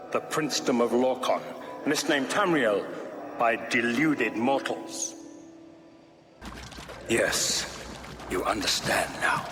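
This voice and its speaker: An actor playing a zealous, grandiose cult-leader villain in a fantasy game, declaiming in a lofty, oratorical manner. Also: male voice, mid-range voice. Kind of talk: theatrical fantasy game monologue. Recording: echoey long reverb, music behind.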